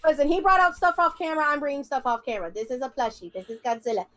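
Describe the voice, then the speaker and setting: A woman's voice: high voice, racing, bright, forceful tone. A woman in her thirties co-hosting a casual stream, playful and teasing with frequent giggles. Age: 30s. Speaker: livestream co-host. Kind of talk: casual chat.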